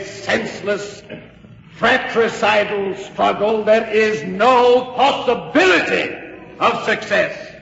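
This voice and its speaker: An actor playing an impassioned colonial delegate making a grave, oratorical plea in an old radio drama. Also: male voice, sits high, creaky, a bit bright, heavily acted. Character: impassioned colonial delegate pleading against independence. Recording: dull old radio recording, echoing hall.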